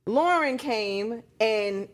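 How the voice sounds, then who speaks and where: A woman's voice: on the high side, expressive, drawn-out words. An American woman speaking as a talk show panelist, giving opinions in an emphatic, colloquial way.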